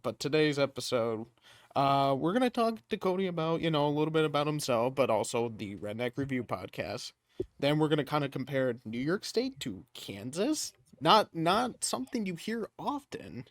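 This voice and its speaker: A man's voice: a little high, very animated, moderate pace, no echo. A man in his twenties hosting a podcast, chatting casually and joking with frequent laughs. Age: 20s. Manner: jokey, laughs often. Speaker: podcast host.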